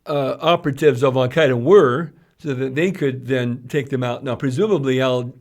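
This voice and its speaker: Guy cohosting a podcast, normal pitch, explains current events and repeats bits for emphasis. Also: normal speed. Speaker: podcast co-host. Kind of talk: conversational current-affairs commentary.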